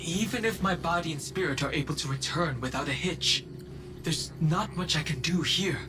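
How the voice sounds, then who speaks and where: An actor playing a serious, loyal young man in a video game, speaking in an earnest, measured way. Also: fairly high pitch, close to an everyday voice. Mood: resolute. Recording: loud music under the voice.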